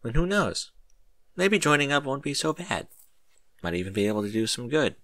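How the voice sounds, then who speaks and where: A young orphan boy played by a man, sulky and easily indignant. He talks in a pouty, questioning way with a lively, bright tone, as in a storybook reading. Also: mid-range voice, normal speed, no room sound, pitched above the performer's own voice. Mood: resigned.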